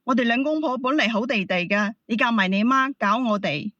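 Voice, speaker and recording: A woman's voice: on the high side, fairly quick, a bit bright, dry recording, lo-fi sound. A woman with a Hong Kong Cantonese accent explaining herself as a caller, defensive and protesting. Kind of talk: heated personal explanation.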